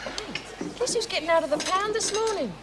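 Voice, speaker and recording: A woman's voice: very high-pitched, normal speed. An actress playing a brash, boisterous female prison inmate. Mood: joking. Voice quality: rough voice. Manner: boisterous, joking, cocky. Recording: loud hiss on old TV audio.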